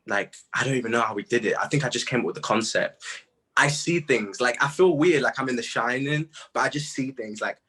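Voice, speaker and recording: A man's voice: mid-range voice, fairly quick, bright, forceful tone, breathy edge. A young man chatting casually in an interview, using informal, slangy speech as a music artist. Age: young adult. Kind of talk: casual chat.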